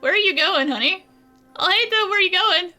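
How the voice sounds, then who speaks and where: A woman's voice: very high voice, animated, brisk, bright, forceful tone, soft background music, exaggerated voice. A woman voicing a playful, caring young female companion in a casual style. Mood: amused, playful.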